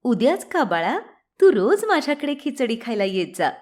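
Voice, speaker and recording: A woman's voice: a little high, very animated, moderate pace, darkish tone. A woman voicing an innocent, devoted young village woman with a sweet, earnest, coaxing manner. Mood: caring.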